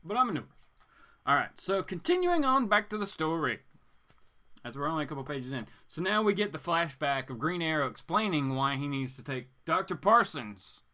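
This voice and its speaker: Bright-voiced man chatting on a livestream. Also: a little high, brisk. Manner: rambling, animated, geeky enthusiasm. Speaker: host of a comics reading show. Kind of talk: casual livestream chat with viewers.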